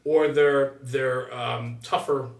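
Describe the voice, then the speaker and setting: A man's voice: medium pitch, steady tone, slow pace, gravelly. New man, roomy and distant. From United States. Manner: emphatic, punctuates points with "right?". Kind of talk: conversational opinion, explaining at length. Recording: roomy echo, distant from mic.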